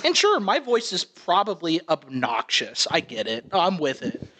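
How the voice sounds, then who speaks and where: Casting note: nasal, high-pitched male podcast co-host, bright tone, loose rambling delivery. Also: huge pitch swings, measured pace. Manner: rambling, restarts a lot. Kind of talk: casual comedy podcast chat.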